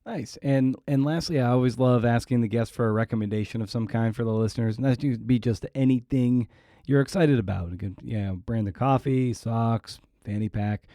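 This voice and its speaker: A man interviewing casually as a podcast host, halting as he searches for his words. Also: mid-range voice, warm tone, no room sound, studio quality.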